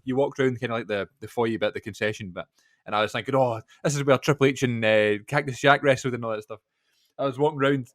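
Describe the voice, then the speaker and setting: A man co-hosting a podcast, telling an excited story in casual chat. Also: medium pitch, dry recording, studio quality. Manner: fast, animated storytelling. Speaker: podcast co-host.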